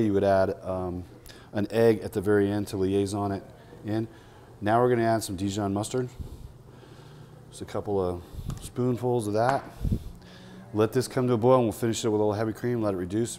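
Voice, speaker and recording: A man in his fifties walks through a recipe in an easy, chatty way, with plenty of "uh"s. His voice sits a bit low. He talks in a restaurant dining room with a faint hum behind him. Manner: relaxed, chatty, many "uh" fillers. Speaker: chef hosting a cooking segment. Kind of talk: casual cooking demonstration.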